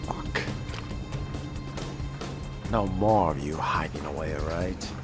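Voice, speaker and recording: A man role-playing a sardonic, predatory vampire in solo game commentary, with wry, theatrical delivery and formal turns of phrase, under loud music. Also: extremely low pitch, slow pace. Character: sardonic, predatory fledgling vampire stalking prey. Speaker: gamer role-playing through a video game.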